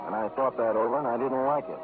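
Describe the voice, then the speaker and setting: A man's voice: medium pitch, fast, lightly acted. A man playing a sardonic, cocky hard-boiled private detective in 1940s radio noir style, with wry, mock-formal wording. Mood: dry. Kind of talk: 1940s radio noir acting and first-person narration. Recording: tinny old radio recording, music behind.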